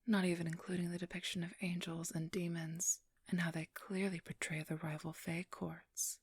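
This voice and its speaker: A woman playing a cunning, haughty supernatural thief who poses as a scholar, speaking in a soft, precise, formal whisper for an ASMR roleplay. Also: on the low side, little pitch movement, moderate pace, husky, no room sound. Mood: scholarly, assured.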